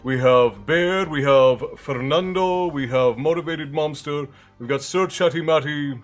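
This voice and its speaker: A man's voice: fairly high pitch, a little slow. Congested guy chatting with his stream, jokey, faint music playing under him. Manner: chatty, joking, greets viewers by name. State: congested, with a bit of a chesty cough. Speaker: livestream host. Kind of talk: casual chat with live viewers. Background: soft background music.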